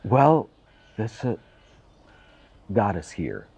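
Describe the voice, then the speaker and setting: A man's voice: on the low side, sing-song, drawn-out words, gravelly, darkish tone, breathy edge, dull audio. A middle-aged man from Russia speaking English with a Russian accent, a church assistant answering interview questions earnestly and with careful, self-taught phrasing.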